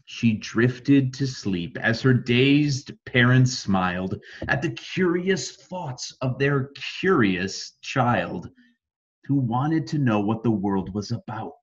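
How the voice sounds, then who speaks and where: An American man reading a rhyming children's bedtime story expressively, with dramatic emphasis and deliberate phrasing. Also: mid-range voice, animated, muffled recording. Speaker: storybook reader.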